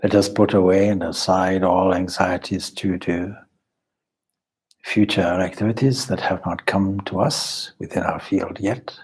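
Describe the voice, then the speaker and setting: Male meditation guide: very slow delivery, heavily breathy and husky, calm and instructive. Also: sits low. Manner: calm, measured, instructive with deliberate pauses. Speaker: swami leading a guided meditation.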